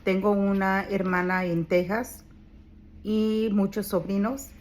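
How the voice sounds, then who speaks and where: A woman's voice: mid-range voice, steady tone, slow pace, darkish tone, a little hiss or hum. Older Purépecha-accented woman. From Michoacán, Mexico. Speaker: vlogger. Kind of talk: casual home vlog. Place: home in California.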